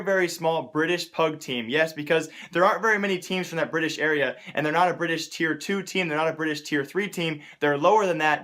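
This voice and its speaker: Fast-talking man with a higher-pitched voice. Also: a bit bright. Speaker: host of an esports news show. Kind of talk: casual esports news commentary.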